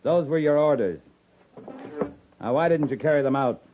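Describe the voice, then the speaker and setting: A man's voice: fairly high pitch, animated, moderate pace, background noise, natural voice. Stern cavalry captain on muffled old radio played by a man. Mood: stern, impatient. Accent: American. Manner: clipped, authoritative. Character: stern, commanding US cavalry captain in frontier West. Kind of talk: 1950s radio drama acting. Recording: muffled 1950s radio recording.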